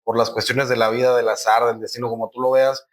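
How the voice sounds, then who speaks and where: Fast-talking Mexican podcast host. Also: male voice, medium pitch, little pitch movement. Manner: colloquial, constant backchannel murmurs. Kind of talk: podcast interview conversation.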